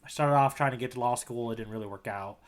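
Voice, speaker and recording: A man's voice: mid-range voice, fast talker. A man co-hosting a podcast in casual comedic chat, joking with mock authority and repeating phrases for effect. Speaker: podcast co-host playing the tour guide.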